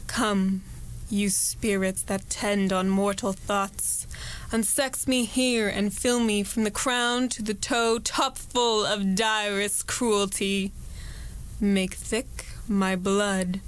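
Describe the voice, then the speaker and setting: Woman as ambitious, merciless noblewoman, slow deliberate Shakespearean verse, radio drama, mid-pitched. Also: natural voice, low-quality recording. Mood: dark invocation. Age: young adult. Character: ambitious, ruthless noblewoman invoking dark spirits.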